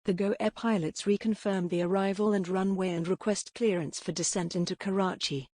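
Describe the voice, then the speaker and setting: A narrator reads a short script in a flat, even manner. The recording is dry and close, with no room sound. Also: mid-range voice, a little fast, no echo.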